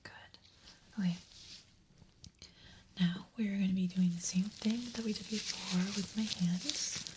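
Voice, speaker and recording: A woman whispering softly and breathily in a gentle, reassuring way, as an examiner in an ASMR medical roleplay. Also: medium pitch, fairly even intonation, measured pace, long pauses, noisy background.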